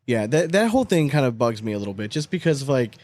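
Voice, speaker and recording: A man hosts a casual sports podcast in a middle-pitched voice. He is blunt and emphatic and swears easily. The sound is dry, with very little room in it. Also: big pitch swings, fast, a little mellow, no echo. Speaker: podcast host.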